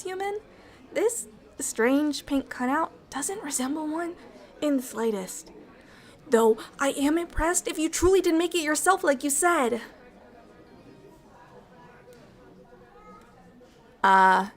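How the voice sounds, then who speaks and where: A woman playing a bubbly, affectionate alien ambassador in an ASMR roleplay, curious about humans, with expressive, earnest and slightly formal speech. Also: high-pitched. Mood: puzzled, flustered. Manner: expressive, earnest, slightly formal wording, halting when moved. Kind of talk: ASMR roleplay acting, intimate one-sided dialogue.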